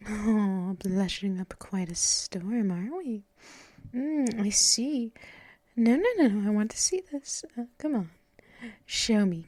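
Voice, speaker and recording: A woman playing a doting, teasing, gently dominant mistress in an ASMR roleplay, breathy and mostly whispered, cooing close to the microphone. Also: fairly high pitch, dry recording. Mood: teasing, coaxing. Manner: slow, cooing, teasing, drawn-out pauses and hums. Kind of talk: unscripted ASMR roleplay, addressed to the listener.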